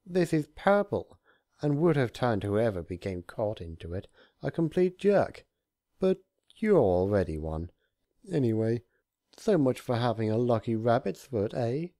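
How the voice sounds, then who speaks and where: Guy doing a smug cartoon chaos god, taunting and theatrical, pitched up from his normal talk, mellow tone. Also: big pitch swings, unhurried, no room sound, studio quality. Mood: smug, mocking. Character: smug, gloating, mischievous male chaos god.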